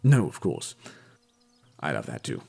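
An actor playing an awkward, evasive man in an audio drama, halting and terse. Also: rumbling low, huge pitch swings, creaky, dark tone, husky, full character voice.

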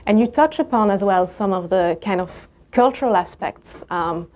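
A woman's voice: medium pitch, animated, darkish tone. Woman with a foreign accent posing questions. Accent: non-native English. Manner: measured and appreciative, long framed questions. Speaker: discussant. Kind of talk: academic conversation, asking questions. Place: university lecture room.

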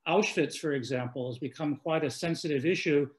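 An older man in his sixties with a fairly high voice asks questions on a video call from home. He is courteous and hesitant, with frequent fillers and restarts. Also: fairly quick. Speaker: interviewer. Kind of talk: webinar interview. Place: home room by a lake.

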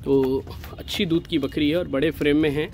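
Hyderabadi male farm vlogger, slightly high voice, persuasive seller tone, recorded outdoors on a phone, light background noise. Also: fairly even intonation, moderate pace, a little mellow. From India. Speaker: goat farm owner and channel host. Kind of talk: casual sales walkthrough. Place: outdoor goat pen at a farm.

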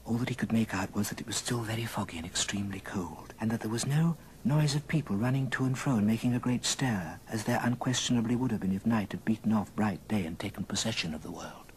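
A man narrating a story in an old radio drama, measured and theatrical. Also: lowish voice, darkish tone, slight background noise, poor audio. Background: music.

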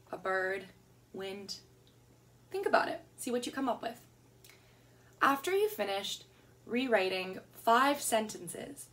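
Young woman teacher, gentle and encouraging with kids, slightly high voice, webcam in a room at home, a touch off-mic. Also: far from the mic. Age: young adult. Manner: gentle, encouraging, speaks to children. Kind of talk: online lesson with poem reading. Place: home room with plants and shelves, indoors. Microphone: webcam at desk distance.

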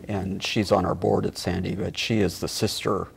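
A middle-aged man with a gravelly, husky voice, explaining things in a halting, measured way as an interview guest. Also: low-pitched, little pitch movement, noisy background. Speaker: theatre board member and director, guest. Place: small TV studio, seated at a table. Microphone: lapel mic.

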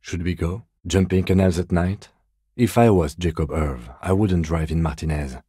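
A man playing a reserved, principled police detective in a video game, with a measured, formal, dry-witted delivery. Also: deep voice, husky, dry recording. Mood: dry, composed. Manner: measured, deadpan, formal and understated. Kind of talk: scripted video game dialogue lines.

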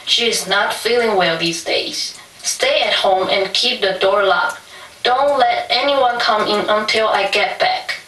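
Woman playing a bright-voiced, cautioning mother, echoey. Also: medium pitch, unhurried. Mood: caring, cautioning. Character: caring, cautious mother of two young girls. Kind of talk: puppet show stage acting. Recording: echoey room from far off, faint hiss.

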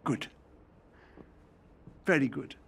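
A man playing a gentle, hesitant middle-aged father coaxing his child in a video game. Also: extremely slow. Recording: faint ambient room noise.

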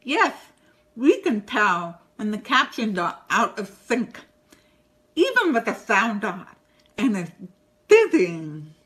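A woman with a deaf accent presenting a short recorded tip video, speaking slowly and deliberately. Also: medium pitch, very animated, a bit bright. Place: home room with shelves.

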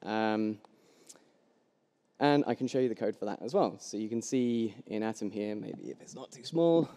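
Young man, low voice, echoey hall. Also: moderate pace, mellow. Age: young adult. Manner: casual, loose, many ums and restarts. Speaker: conference speaker. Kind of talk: live coding demo talk. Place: large hall with long reverb. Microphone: headset mic.

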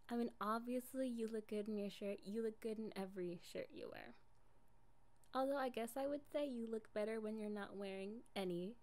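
A young woman playing an affectionate, jealous girlfriend in an improvised ASMR roleplay, casual and coaxing in an intimate way. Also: fairly high pitch. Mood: teasing, pouty.

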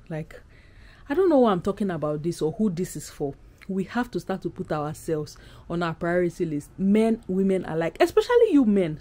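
A woman's voice: medium pitch, mellow. A woman in her thirties from Nigeria with a Nigerian accent, talking casually to camera as a vlogger in a candid, emphatic, conversational way. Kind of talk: casual chatty vlog to camera. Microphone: camera at arm's length.

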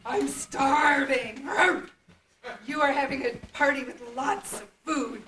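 A woman's voice: sits high, fairly even intonation, a bit bright. A woman voicing a large, hungry, self-pitying tiger with theatrical growls in an amateur puppet play. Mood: plaintive. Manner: plaintive, slow, theatrical growls. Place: indoor puppet stage, far camera. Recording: distant, echoey, noisy old camcorder tape.

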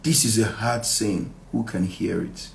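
A middle-aged man with a Nigerian accent teaches scripture in a fairly low voice. He speaks with deliberate emphasis, repeating key phrases and posing questions to his listeners. The phone recording has clear room echo and a little background noise. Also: fairly even intonation. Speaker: pastor leading a Bible study. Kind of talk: live Bible study, reading verses aloud and explaining them. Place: small indoor room. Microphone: phone at arm's length.

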